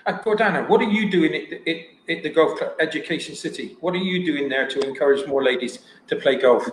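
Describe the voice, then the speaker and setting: Roomy-sounding male interviewer, fairly high voice. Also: a little fast. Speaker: podcast host. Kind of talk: casual interview questioning. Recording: roomy echo, faint background noise.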